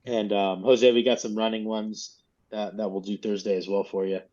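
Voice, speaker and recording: A man's voice: fairly low pitch, little pitch movement, moderate pace, a little mellow. A man coaching a group in casual, informal, mildly profane instructional talk over a lo-fi video call. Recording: dull, lo-fi video call audio.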